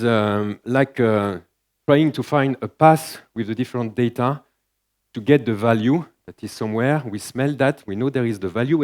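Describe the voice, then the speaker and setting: A man's voice: mid-range voice, darkish tone. A man from France with a French accent, speaking English as a second language, giving a conference keynote with frequent fillers and restarts. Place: large conference hall stage.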